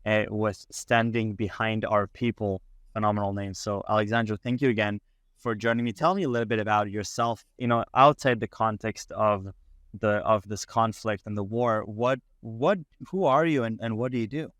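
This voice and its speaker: A man's voice: lowish voice, fairly even intonation, normal speed, a bit bright, no room sound, clean studio sound. A man hosting an interview, encouraging the guest and speaking with frequent fillers and repeated words. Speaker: podcast host.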